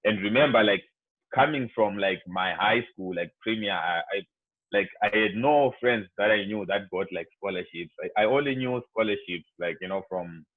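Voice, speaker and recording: Young Zimbabwean man, low voice, chatty and rambling student speaker, dry tinny video-call sound. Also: moderate pace, dry recording. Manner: rambling, heavy on "like" and "you know". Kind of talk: casual talk to students over a video call.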